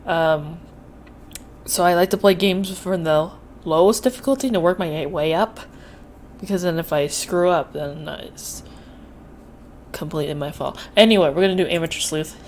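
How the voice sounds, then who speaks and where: A woman's voice: lowish voice, normal speed, a little mellow, slight background noise. A young woman with a slight Filipino accent chatting casually and self-deprecatingly while playing a game. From Philippines. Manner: rambling, self-deprecating, stumbling over words. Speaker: gaming YouTuber. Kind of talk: casual let's-play commentary.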